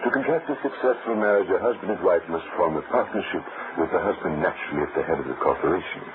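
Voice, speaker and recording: An actor playing a pompous, condescending, easily irritated wife-killer with formal speech in an old-time radio drama. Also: male voice, deep voice, fast, natural voice. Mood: smooth, condescending. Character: smooth, pompous wife-killing bigamist, unhinged and easily irritated. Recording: tinny, noisy old radio recording.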